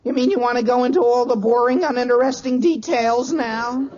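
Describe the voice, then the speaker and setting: A man's voice: very high-pitched, brisk, crowd noise. A man playing an eager, hapless, earnest teenage boy in a 1940s radio comedy, with a youthful, swooping delivery on a muffled vintage recording. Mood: eager, wheedling.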